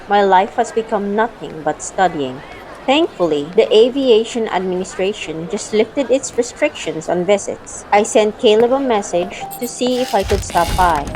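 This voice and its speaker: Female narrator, mid pitch, first-person audio drama with acted dialogue, music bed underneath. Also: a little mellow.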